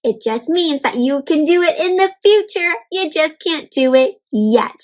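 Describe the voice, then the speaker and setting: A young woman with a high voice reads a picture book aloud to children and talks with them as she goes. Her delivery is animated and encouraging, and she speaks directly to her young listeners. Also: a little slow. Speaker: children's storybook reader and host. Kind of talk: interactive read-aloud for kids.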